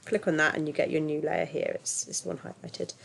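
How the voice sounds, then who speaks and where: A woman with a stuffy, bunged-up voice from a cold, talking casually through a software tutorial with lots of ums. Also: low voice, little pitch movement, fairly quick, vocal fry. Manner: chatty, self-deprecating, filler-heavy. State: congested from a cold. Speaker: tutorial host.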